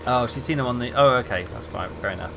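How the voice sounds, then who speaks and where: A man's voice: mid-range voice, fast, vocal fry, noisy background. A man who grew up in England chatting casually with viewers while he streams a game. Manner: relaxed, chatty, answers chat while playing.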